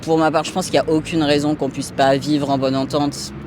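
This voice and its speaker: A young man answering a street interviewer in a calm, matter-of-fact way. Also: on the high side, brisk. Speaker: passer-by interviewee. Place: busy city square outdoors. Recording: street traffic noise.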